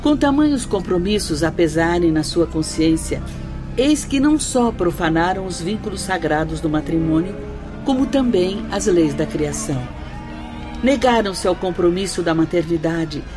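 A woman plays a grave spirit instructor in a radio drama, explaining in a solemn, steady way. Her voice sits rather low for a woman. Music plays clearly beneath her. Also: moderate pace, close to an everyday voice. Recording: music bed under voice.

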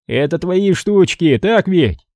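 A man's voice: high-pitched, expressive, slow pace, darkish tone, no room sound, studio quality, voice pushed up, exaggerated voice. A man reading a storybook as a big bullying boy, suspicious, demanding and threatening.

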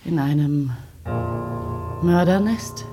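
An actress playing a sharp-tongued, provoking newspaper reporter in a German radio drama, with a dry, needling manner. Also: female voice, sits low, slow, music in the background.

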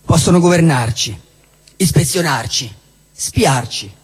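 A man giving a theatrical spoken-word political declamation on stage, emphatic and built in measured lists. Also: fairly high pitch, lively intonation, husky. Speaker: stage performer at a live show. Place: indoor cinema-theatre venue with long reverb. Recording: reverberant hall, live show with music.

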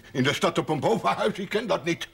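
A grieving old rustic in a seventies TV drama, defiant and possessive. His voice is gravelly and a little high, blunt and loud over faint noise. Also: expressive, normal speed. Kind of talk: 1970s television drama acting.

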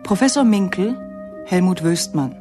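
A woman reading radio drama credits as a formal, deliberate announcer. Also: lowish voice, animated. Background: music.

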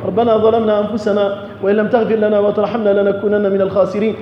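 A man's voice: sits high, level tone, racing. A man preaching an Islamic sermon in an impassioned, exhorting, rhetorical manner, telling stories and admonishing listeners. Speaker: preacher delivering a Friday sermon. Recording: echoey reverberant hall, dull, faint noise.

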